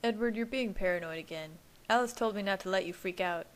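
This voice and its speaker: A woman's voice: medium pitch, brisk, natural voice. A woman voicing a sarcastic young man who teases his brother in a wry tone. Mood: teasing.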